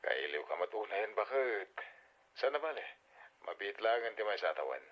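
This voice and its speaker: Dull phone-line sound, actor as loving low-voiced husband. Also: male voice, very animated, moderate pace. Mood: affectionate, apologetic. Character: loving, apologetic husband working abroad, on a call. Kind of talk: radio drama acting. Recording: dull, band-limited phone-call sound.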